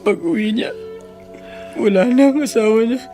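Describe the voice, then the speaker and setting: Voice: medium pitch, normal speed, music in the background, lightly acted. A performer playing an ashamed, hurt grandson in a radio drama, with sorrowful, plaintive delivery. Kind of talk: Filipino radio drama acting.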